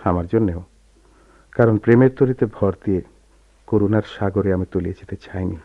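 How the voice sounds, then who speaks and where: A man acting a melancholy, soft-spoken educated gentleman with measured, literary phrasing in a Bengali radio drama. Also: low voice, no echo. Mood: pained restraint. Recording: dull lo-fi radio drama recording.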